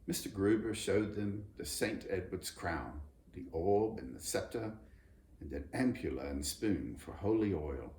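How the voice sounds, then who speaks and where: A man narrating a children's story in an expressive, scripted storytelling style. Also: low-pitched, measured pace. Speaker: storybook reader. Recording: roomy echo, background noise.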